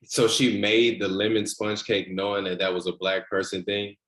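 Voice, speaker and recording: A man chatting casually as he asks questions, informal and halting, with a lot of "like". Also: lowish voice, flat delivery, fairly bright tone. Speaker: actor at a table read asking questions. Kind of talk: casual chat. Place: indoors on a video call.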